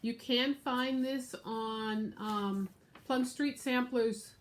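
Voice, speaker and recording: A woman's voice: a little high, steady tone, extremely slow. An American woman with a nasal, stuffed-up voice from being sick, chatting casually to camera as she shows her craft projects. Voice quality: nasal, congested. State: sick with congestion. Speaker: craft vlogger and show host. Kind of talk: casual show-and-tell chat to camera. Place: room at home.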